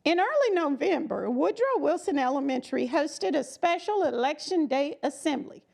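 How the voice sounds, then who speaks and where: Lady doing storytime for kids, high voice w some creak, slow and dramatic. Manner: expressive, emphatic, dramatic pauses. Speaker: storyteller reading a children's book aloud. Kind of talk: animated story reading with asides to children.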